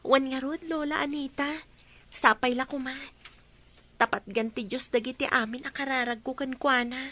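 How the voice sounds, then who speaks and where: A polite, earnest young student with an Ilocano accent speaks in a high, bright voice, played by a woman. She sounds dutiful in this radio drama. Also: fairly even intonation, moderate pace. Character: dutiful student daughter Claire.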